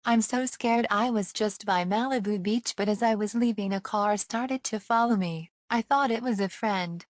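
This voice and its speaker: A woman's voice: mid-range voice, normal speed, close to an everyday voice. A woman playing a frightened eyewitness, telling a scripted account plainly, step by step. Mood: scared. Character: frightened female eyewitness who was followed.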